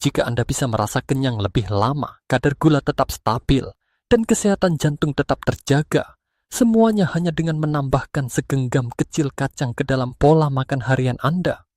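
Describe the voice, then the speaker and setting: Male Indonesian narrator for health explainer, medium pitch, earnest scripted read, close dry recording. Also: normal speed, a little mellow, dry recording.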